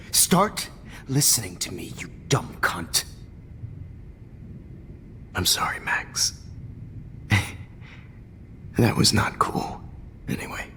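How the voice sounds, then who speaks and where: A man's voice: mid-range voice, pushed and bright, a little hiss or hum, heavily acted. A man voicing a smug, manipulative photography teacher in a video game, taunting and often whispering. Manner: taunting, mocking, drops into whispers.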